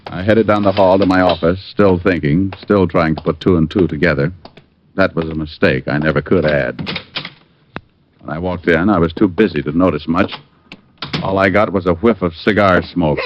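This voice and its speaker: An actor playing a tough, wry, deadpan private detective in a hard-boiled 1950s radio drama. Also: male voice, deep voice, moderate pace, natural voice. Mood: wry narration. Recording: dull, faintly noisy old radio recording.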